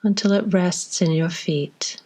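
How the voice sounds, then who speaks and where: A woman leading a guided body scan meditation, giving slow, gentle instructions with long pauses between phrases. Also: lowish voice, breathy edge.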